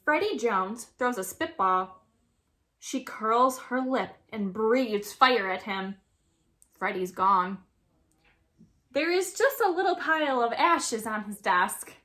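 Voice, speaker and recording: A woman reading a children's story aloud as a teacher would, with expressive, dramatic narration. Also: on the high side, takes it slowly.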